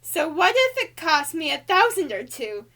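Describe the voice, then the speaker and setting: A woman's voice: very high voice, very animated, normal speed, sharp tone, full character voice. A woman voicing a female cartoon angel who reads a rhyming storybook to children in a showy, sing-song way with exaggerated emphasis. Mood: bright, excited. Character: Alice Angel, female cartoon angel reading a bouncy birthday storybook.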